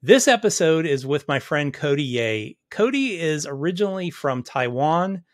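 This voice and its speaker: A man's voice: on the high side, very animated, normal speed. A man hosting a podcast, introducing his guest in an upbeat, folksy way with many fillers. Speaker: podcast host.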